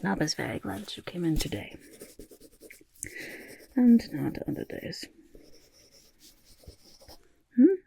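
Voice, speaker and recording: A woman voicing a smug, eccentric goblin portrait artist in an ASMR roleplay, raspy and muttering, with halting speech that often drops into whispers. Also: fairly low pitch, sing-song, dark tone, slight background noise, full character voice. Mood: smug, chatty. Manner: halting, muttering, refers to self in third person. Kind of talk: ASMR roleplay, personal attention.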